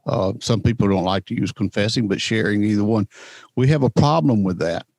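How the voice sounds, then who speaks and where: Older man, earnest, low and dry. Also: a little fast, a little mellow, no echo. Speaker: guest.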